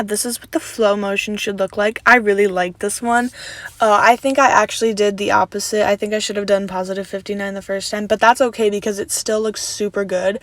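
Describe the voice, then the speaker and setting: Young woman, raspy just-woke-up voice. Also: mid-range voice, dry recording. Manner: relaxed, chatty step-by-step instructions with "so yeah" fillers. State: slightly raspy from just waking up. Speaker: video editing tutorial creator. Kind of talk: casual screen-recorded tutorial voice-over.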